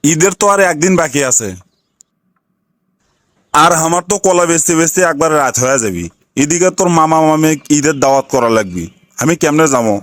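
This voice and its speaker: A man's voice: on the high side, expressive, a bit bright, no room sound. A man voicing a middle-aged father who sells bananas, scolding his lazy son in a rustic North Bengal Bangla accent in a cartoon. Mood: grumbling. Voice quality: fast, scolding, rustic dialect. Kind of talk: cartoon dialect comedy acting.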